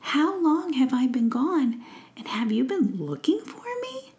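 Woman voicing a small worn-out fairy girl, high and breathy. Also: big pitch swings, measured pace, exaggerated voice. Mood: shaken. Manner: soft, shaky and breathy. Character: small weary young female fairy.

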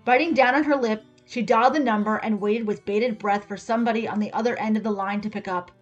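A woman giving an expressive, scripted reading of a story as its narrator. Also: mid-range voice, fast, dull audio. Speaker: story reader. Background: music with guitar.

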